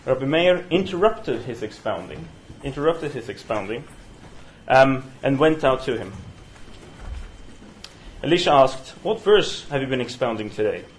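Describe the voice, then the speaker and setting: Norwegian man teaching a halting seminar. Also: mid-range voice, expressive, a little hiss or hum, low-quality recording. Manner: halting, many fillers and restarts. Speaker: teacher leading a study session. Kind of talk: university seminar lecture. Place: university room. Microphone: single room mic.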